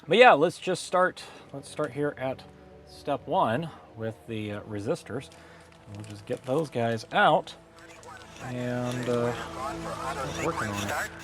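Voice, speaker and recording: A man's voice: mid-range voice, expressive, slow pace, over music. Casual man thinking aloud at the workbench. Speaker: host of an electronics hobby vlog. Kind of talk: casual unscripted build narration.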